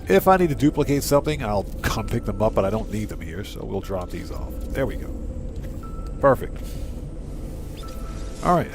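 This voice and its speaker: A man's voice: on the low side, expressive, normal speed, pauses often, music in the background. A man giving casual live game commentary, thinking aloud step by step and chatting with his viewers. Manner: talks to himself step by step and addresses chat. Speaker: game streamer, host.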